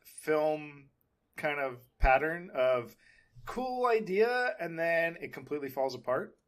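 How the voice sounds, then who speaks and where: A man's voice: a little high, big pitch swings, slow. A man hosting a podcast, chatting casually about film and TV in a rambling, hesitant way. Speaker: podcast host.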